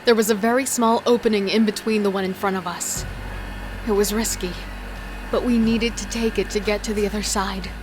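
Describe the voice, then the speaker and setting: A woman's voice: medium pitch, steady tone, fairly quick, music in the background, lightly acted, rough recording. A woman acting as a hard-edged female killer and thief, narrating and living through her ordeal in a horror audio drama with tense, dramatic delivery. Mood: tense storytelling.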